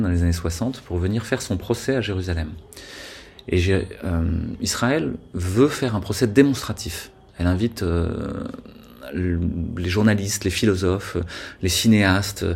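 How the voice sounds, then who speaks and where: A man's voice: low voice, a little mellow, slightly rough. A man speaking as an interview guest, arguing earnestly with frequent hesitations. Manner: earnest, argumentative, many hesitations. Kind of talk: conversational interview.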